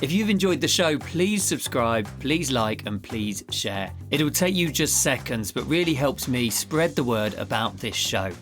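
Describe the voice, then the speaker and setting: A male podcast host with a mid-pitched voice. He speaks with enthusiasm and encouragement, in the style of a presenter addressing guests and listeners. Faint music plays behind him. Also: big pitch swings, measured pace, hardly any pauses, a bit bright. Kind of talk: interviewing and scripted sign-off.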